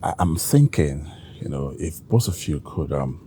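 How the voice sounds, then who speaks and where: An actor playing a stern, well-off husband with a Nigerian accent in a film drama. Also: male voice, very deep voice, expressive, a little slow, dark tone, a little hiss or hum. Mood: hesitant, polite.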